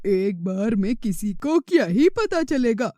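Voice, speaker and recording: An actor playing a greedy, boastful village momo seller and husband in an animated moral story, expressive and self-satisfied. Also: mid-range voice, takes it slowly, dry recording. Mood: defensive.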